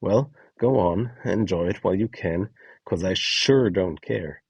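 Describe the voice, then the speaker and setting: A man's voice: low-pitched, measured pace, darkish tone, husky, no room sound, recorded from a distance. A man reading a scripted instructional guide, acting out short example lines as he goes. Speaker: instructor presenting a voice donation guide.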